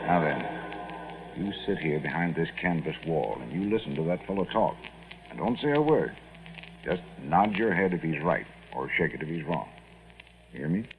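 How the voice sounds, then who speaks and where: Gruff, low-pitched army colonel. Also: male voice, big pitch swings, moderate pace, heavily acted. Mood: commanding. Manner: curt, sardonic, commanding. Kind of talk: 1950s radio drama acting. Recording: tinny, noisy 1950s radio recording.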